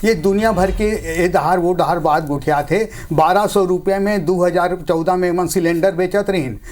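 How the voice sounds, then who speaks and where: A man with a slightly high voice and a Chhattisgarhi accent makes his case in a political debate. He sounds like a party spokesperson, assertive and given to restating his claims. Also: fairly even intonation, normal speed, darkish tone.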